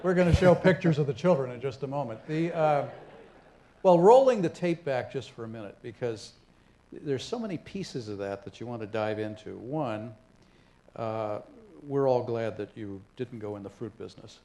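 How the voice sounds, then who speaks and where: A man's voice: mid-range voice, animated, normal speed, dark tone, slight background noise. A man hosting an onstage conversation, asking affable, probing questions. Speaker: moderator host. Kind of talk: interviewing onstage. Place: stage before a live audience.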